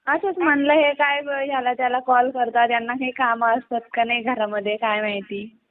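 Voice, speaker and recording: A woman's voice: on the high side, steady tone, brisk. A woman on a phone call, mildly reproachful and a little evasive as she makes her complaint. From Badlapur, Mumbai area, India. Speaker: caller. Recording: narrow tinny phone-line sound.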